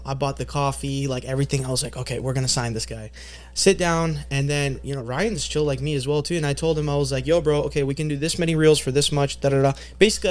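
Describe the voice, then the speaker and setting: Young man, slightly high voice, laid-back, slangy, rambling podcast storyteller. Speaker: podcast guest, marketing agency owner. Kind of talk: casual podcast chat.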